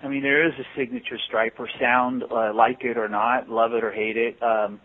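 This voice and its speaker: A man's voice: mid-range voice, little pitch movement, moderate pace. Give me a man in a phone interview, a guitarist and singer who talks through his answers with restarts. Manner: thoughtful, rambling with restarts. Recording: tinny phone line.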